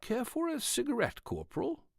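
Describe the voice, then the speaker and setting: A gentle military doctor in an audiobook, acted out by a male narrator. He questions his patient with measured, puzzled care. The pitch moves freely and the sound is very dry. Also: huge pitch swings, gravelly, dry recording, full character voice. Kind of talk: audiobook character dialogue.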